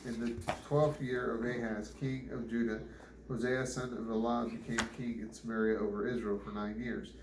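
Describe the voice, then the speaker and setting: A man reads scripture aloud in a halting way, stumbling over words and repeating them. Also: mid-range voice, flat delivery. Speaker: Bible reader. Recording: echoey room with clear background noise.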